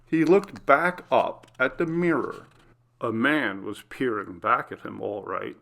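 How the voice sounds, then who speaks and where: A man's voice: medium pitch, takes it slowly, vocal fry, pushed and bright. A man reading a scripted horror story as its narrator.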